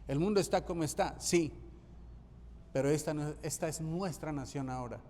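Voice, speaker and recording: Pastor guy preaching, kinda high voice, long church echo, faint hum behind. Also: normal speed, darkish tone. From Colombia. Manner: emphatic preaching, repeating key phrases for rhythm. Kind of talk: sermon. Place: church sanctuary.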